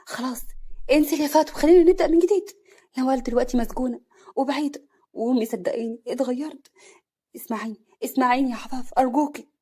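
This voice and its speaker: A woman's voice: medium pitch, fairly even intonation, fast, a little mellow, husky, natural voice. A woman doing an anguished young husband. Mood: pleading. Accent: Egyptian Arabic. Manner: pleading, anguished. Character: desperate young husband Hassan.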